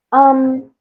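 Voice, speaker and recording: A woman's voice: sits high, phone-quality sound. A woman from India speaking as a writer guest in a reflective interview, thoughtful and hesitant, with self-deprecating humor. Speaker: guest, playwright and screenwriter.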